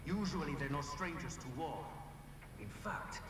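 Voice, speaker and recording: A man's voice: a little high, normal speed, background noise, close to an everyday voice. An actor playing a taunting male cyborg villain in a video game cutscene, with a mocking, sneering delivery. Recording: roomy, distant game audio under stream.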